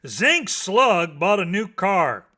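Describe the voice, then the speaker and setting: A man's voice: high-pitched, sing-song, slow, pushed and bright. A man reading children's stories aloud as scripted narration, in a theatrical, emphatic way that savours the words. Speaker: storybook reader.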